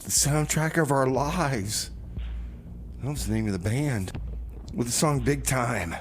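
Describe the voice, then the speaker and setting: Male community radio host, medium pitch, loose comic banter between songs, slight background noise. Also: animated, a little slow. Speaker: music show host.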